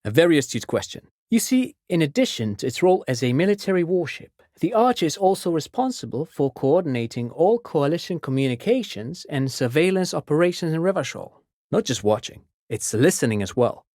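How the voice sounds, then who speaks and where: An actor playing a chatty, courteous amateur academic in a video game, explaining things precisely like an eager enthusiast. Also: male voice, on the high side, big pitch swings, brisk, darkish tone, no room sound, clean studio sound. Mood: fascinated, explanatory. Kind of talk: game character dialogue.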